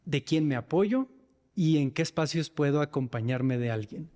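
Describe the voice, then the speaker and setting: A man's voice: fairly high pitch, lively intonation, moderate pace, mellow, long reverb tail. A man with a northern Mexican accent speaking reflectively about himself and his work as an interview guest. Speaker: podcast guest, men's mental health facilitator. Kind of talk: reflective personal interview talk.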